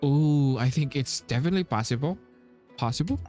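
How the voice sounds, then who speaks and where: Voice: medium pitch, lively intonation, a little fast, a little mellow, faint music behind. A game streamer giving casual reactions and asides during a playthrough, sometimes echoing the dialogue.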